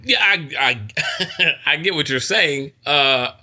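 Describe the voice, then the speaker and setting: A man's voice: a little high, expressive, takes it slowly, pushed and bright. A man in his mid-thirties co-hosting a podcast, talking in casual chat with lots of laughter and teasing. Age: 30s. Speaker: podcast co-host and college soccer coach.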